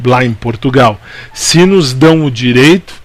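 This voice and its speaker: Brazilian guy teaching law for exams, asks then answers his own questions, mid pitch, really dry sound. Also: unhurried, dry recording. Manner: didactic, repeats key points, asks and answers own questions. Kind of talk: informal exam-prep lecture.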